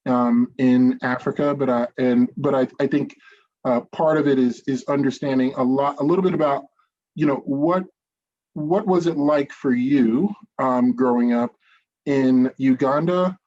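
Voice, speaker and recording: American male podcast host, mid-pitched, rambling earnest questions, dull lo-fi sound. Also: fairly even intonation, unhurried. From United States. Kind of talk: casual interview.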